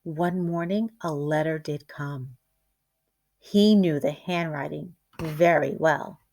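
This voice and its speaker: A woman reading a children's picture book aloud like a teacher, in a deliberate, expressive storytelling way. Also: deep voice, creaky.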